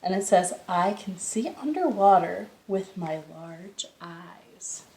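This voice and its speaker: Slow-paced woman storyteller in an echoey room. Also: on the low side. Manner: expressive, drawn-out phrasing with gasps and direct questions to young listeners. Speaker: storytime host for young children. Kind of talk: read-aloud storytelling for children. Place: indoors, in a living room. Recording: roomy, echoey home room sound.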